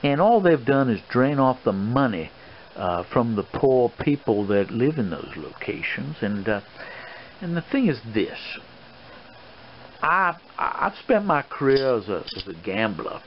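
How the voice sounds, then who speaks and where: Old gentleman colonel played by a man, swashbuckling gambler for ASMR role-play: boastful, paternal, hesitant folksy rambling, dull lo-fi audio with plain background noise. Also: mid-range voice, very animated, a little slow. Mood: fatherly, cautioning. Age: older.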